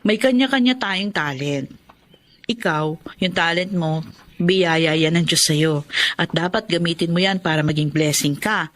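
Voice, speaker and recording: An actress playing a supportive older sister in a Filipino radio drama, giving advice with a Filipino accent. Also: female voice, on the low side, unhurried, lightly acted. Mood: caring. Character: caring, encouraging older sister.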